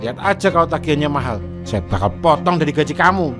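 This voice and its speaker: A pompous, tight-fisted stall owner, swinging his voice up and down as he lectures and yells at staff. Fairly high and very animated in delivery. A cartoon drama with music behind. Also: quick pace. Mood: furious. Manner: blustering, indignant, shouting. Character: arrogant stingy stall-owner husband, middle-aged.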